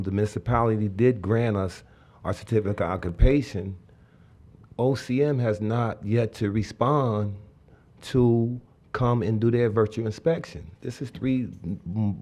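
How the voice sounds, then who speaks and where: A man with a fairly low voice gives a public comment in a meeting hall. He halts, stumbles and restarts his sentences. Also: unhurried, a little mellow.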